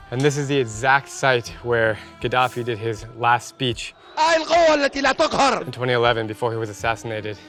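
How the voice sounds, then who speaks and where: A man's voice: mid-range voice, fairly bright tone. An American man in his thirties, hosting a travel vlog outdoors with a handheld camera, talking in an enthusiastic, upbeat way. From United States. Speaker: travel vlogger host. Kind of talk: casual vlog narration. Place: outdoors in old town streets.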